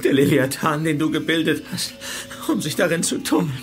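A man's voice: a little high, moderate pace, soft background music, lightly acted. An actor playing a deranged man, lost in his own world, solemnly reciting cryptic biblical verses in a crime radio drama. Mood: ominous, fevered.

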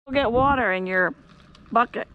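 A woman's voice: fairly high pitch, moderate pace, phone-quality sound. A woman chatting casually and asking questions while fishing on a lakeside pier, filmed on a body-worn camera. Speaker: vlogger filming her fishing trip. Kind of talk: casual chat. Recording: noisy outdoor camera audio.

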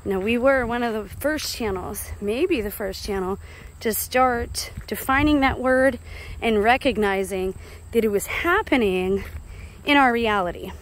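American female vlogger, higher register, casual rambling monologue, handheld phone outdoors with background noise. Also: measured pace, rough recording. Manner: chatty, digressive, confiding. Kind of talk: casual walk-and-talk monologue. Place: outdoors walking along a rural road. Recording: clear outdoor roadside noise.

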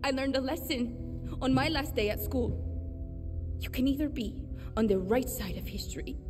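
A woman's voice: high-pitched, moderate pace, big-room sound, over music, lightly acted. An actress playing a blunt, defiant young woman who leads a rebel band with rousing, profane speeches. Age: young adult. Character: young woman guerrilla leader, fiery and defiant. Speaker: video game character. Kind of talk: game cutscene acting.

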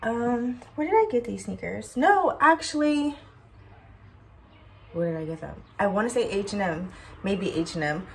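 A woman's voice: mid-range voice, expressive, measured pace, creaky. A woman in her twenties chatting casually to her phone camera as a lifestyle vlogger, rambling and correcting herself as she goes. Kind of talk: casual vlog chat to camera. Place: bedroom indoors, later backyard outdoors.

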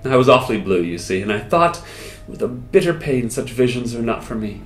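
A man's voice: mid-range voice, voice pushed down, lightly acted. A man playing a wistful wounded soldier, roomy sound. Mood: melancholy. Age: 30s. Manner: theatrical, halting, with long expressive pauses. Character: wounded Canadian soldier, disfigured, wistful, lovestruck, grateful. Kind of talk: dramatic poetry recital, first-person monologue. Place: small indoor room with hard walls. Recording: roomy echo, music behind.

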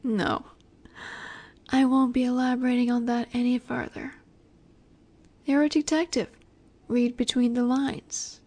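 A woman playing a flirty, confident female mafia boss in a roleplay audio drama, coy and teasing with breathy asides. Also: fairly high pitch, level tone, unhurried, long pauses, darkish tone. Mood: seductive, teasing.

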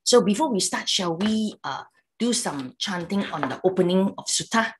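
An older woman with a Malaysian English accent, native in Cantonese, giving an online Buddhist talk over a video call from a home room, chatty and halting, tagging lines with "okay" and "lah". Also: medium pitch, animated, a little hiss or hum. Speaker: Buddhist teacher. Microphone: video call webcam.

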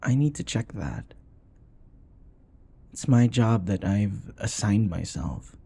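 A man playing an affectionate, teasing young boyfriend in an intimate comfort audio roleplay, speaking in a soft, tender murmur. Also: fairly low pitch, big pitch swings, takes it slowly, vocal fry, breathy edge, full character voice. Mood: gentle, caring.

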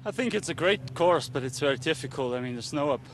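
A ski racer talking at the finish area. He answers earnestly, pausing on fillers, his voice sitting a little high. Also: expressive, a little hiss or hum.

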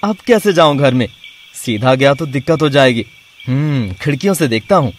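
A man's voice: medium pitch, animated, normal speed, slight background noise, lightly acted. A man playing a devoted, worried young college student in a cartoon story, earnest and reassuring. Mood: wary, puzzled.

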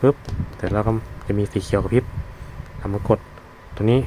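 A man with a fairly low voice talks through a product demonstration in an informal, unscripted way. He explains step by step, often pausing to find the words. There is clear noise behind him, with vehicle sounds. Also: warm tone, rough recording. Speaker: product reviewer demonstrating a gadget.

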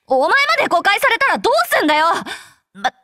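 An actress playing a brash, hot-tempered young female fighter with rough, curt tomboyish speech, in anime game voice lines. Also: extremely high pitch, big pitch swings, fast talker, bright, forceful tone, no room sound, clean studio sound, full character voice. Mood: indignant shouting, then awkwardly softening. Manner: rough, curt masculine speech, easily flustered.

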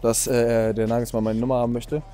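Young man, mid pitch, relaxed, brief answers, indoor hall, light music. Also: fairly quick. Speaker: guest. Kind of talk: casual chat.

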